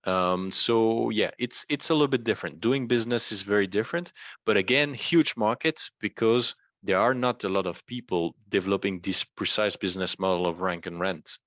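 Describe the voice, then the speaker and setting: Low-voiced man, close and dry. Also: normal speed, a bit bright, no room sound. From Belgium. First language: not English. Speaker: interview guest, rank and rent website entrepreneur. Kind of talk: explanatory conversational talk.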